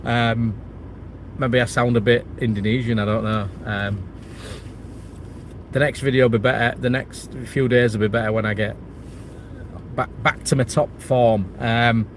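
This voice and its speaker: A man's voice: mid-range voice, fairly even intonation, measured pace. Guy with a cold, rambling live chat, bit of traffic noise behind. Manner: rambling, many restarts and fillers, candid. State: has a cold and chest infection. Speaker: property channel host. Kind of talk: casual livestream chat reading viewer comments.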